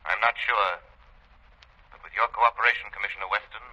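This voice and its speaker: An actor playing a mysterious, menacing vigilante in a 1930s radio drama, speaking in a measured, formal, ominous way. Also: male voice, fairly low pitch, steady tone, sharp tone. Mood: grave, ominous. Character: mysterious, menacing invisible male vigilante avenger. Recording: dull old radio broadcast, telephone-like.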